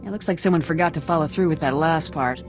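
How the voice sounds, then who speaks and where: A confident woman officer in command in a video game. She speaks directly and with assurance. Clear music plays behind her. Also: sits low, fast talker. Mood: firm. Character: confident, direct female military squad commander.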